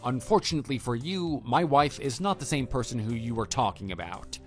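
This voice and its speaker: Measured, unflappable husband played by a man, music behind. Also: mid-range voice, expressive, close to an everyday voice. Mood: calm, firm. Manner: measured, matter-of-fact, quietly dry. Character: calm, unflappable husband, a former homeroom teacher. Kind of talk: scripted dialogue in an online radio drama. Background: background music.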